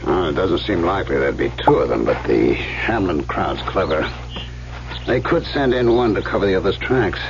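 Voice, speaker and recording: An actor playing a watchful, wry man in an old-time radio drama, measured and low-key, with a gravelly voice. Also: expressive, music in the background. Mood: suspicious. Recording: dull old radio recording.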